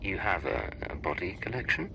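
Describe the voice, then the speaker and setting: An actor playing a loyal, terse secret agent in a game cutscene, clipped and controlled. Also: male voice, sits low, big pitch swings, moderate pace, creaky, pushed and bright, a little hiss or hum, heavily acted. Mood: guarded. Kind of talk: video game cutscene acting.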